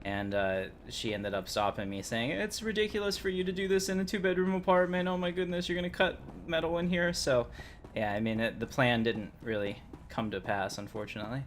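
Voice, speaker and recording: A man's voice: medium pitch, fairly quick, slight background noise. A man streaming a game, talking casually in a rambling, informal way. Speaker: game livestreamer. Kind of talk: casual livestream chat and commentary.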